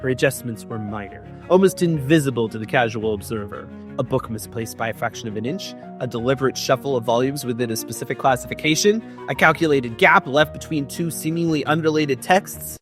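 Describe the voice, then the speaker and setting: A man's voice: medium pitch, a little fast, few pauses, fairly bright tone, soft background music. A man hosting a comedic dramatic book reading, reading in a mock-solemn theatrical way with dry, sarcastic asides. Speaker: host, writing coach.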